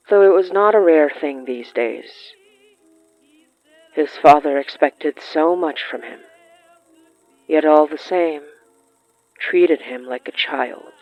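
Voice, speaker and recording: A narrator tells a literary period story in a measured, deliberate voice with careful pauses. The pitch sits in the middle, and the recording is very dry and slightly dull, with music faintly underneath. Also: gravelly, no room sound. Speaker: narrator of a fiction podcast. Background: background music.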